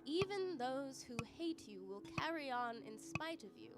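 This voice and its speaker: A woman playing a serene, cryptic immortal spirit in a radio drama, speaking in a deliberate, oracular way. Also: sits high, sing-song. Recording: voice under loud music.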